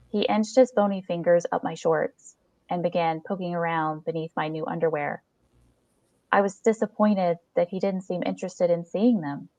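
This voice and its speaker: A woman narrates a first-person short story aloud. Her voice sits a little low for a woman. The recording is very dry and somewhat dull, lacking top-end detail. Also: a little mellow, no room sound.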